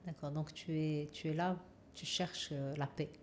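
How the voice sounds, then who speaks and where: Low-voiced woman hosting a podcast. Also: fairly even intonation. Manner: measured, hesitant with frequent fillers. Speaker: podcast host. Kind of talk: interview hosting.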